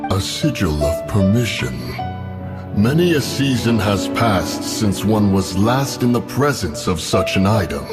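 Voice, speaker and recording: An actor playing a proud, solemn immortal guardian beast in a fantasy game, speaking in pompous, archaic, deliberate phrases. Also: male voice, fairly low pitch, sing-song, over loud music. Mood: imperious. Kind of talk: theatrical fantasy game voice acting.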